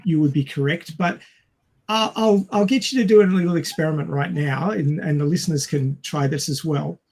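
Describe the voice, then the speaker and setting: A man co-hosting a podcast, explaining science informally in a didactic manner. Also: high voice. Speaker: podcast co-host.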